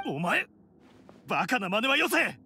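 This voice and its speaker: A man's voice: high-pitched, big pitch swings, unhurried, pushed and bright, a little hiss or hum, exaggerated voice. An actor playing a stern, proud, self-sacrificing young oni man in a Japanese anime game, intense and curt. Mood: desperate. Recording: prominent music behind the voice. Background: dramatic game music.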